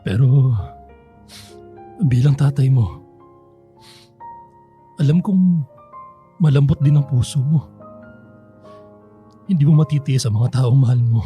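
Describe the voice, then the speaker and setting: An actor playing a remorseful middle-aged father in a radio drama, speaking haltingly and apologetically. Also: mid-range voice, soft background music, close to an everyday voice. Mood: remorseful, pleading. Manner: halting, soft-spoken, apologetic. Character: remorseful middle-aged father, hesitant, pleading, hiding his true self.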